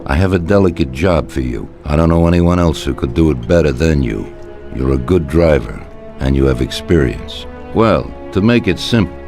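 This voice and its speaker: An actor playing an older Italian-American mafia boss in a video game, speaking in a deliberate, colloquial, authoritative way. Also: male voice, rumbling low, darkish tone. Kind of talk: video game cutscene dialogue.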